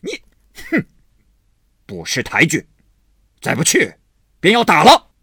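A reader voicing a hot-tempered, bullying young husband who blusters and scolds in a storybook reading. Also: male voice, medium pitch, sing-song, measured pace, a bit bright, breathy edge, no room sound, clean studio sound, full character voice. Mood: gruff scolding.

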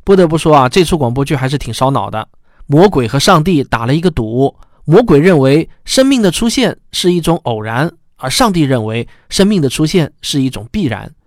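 A man's voice: fairly high pitch, sing-song, a little fast, a little mellow, no echo, studio quality. A man hosting a science podcast in a chatty, explanatory way. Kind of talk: podcast commentary, conversational.

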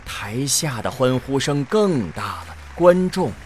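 A man's voice: mid-range voice, very animated, takes it slowly, darkish tone, faint music behind. A man narrating a scripted science fiction audio drama in a dramatic storytelling manner.

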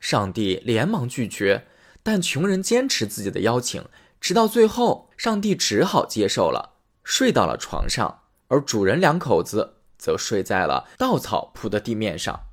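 A man narrating a fairy tale for children in a friendly, lively storytelling manner. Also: mid-range voice, a little slow.

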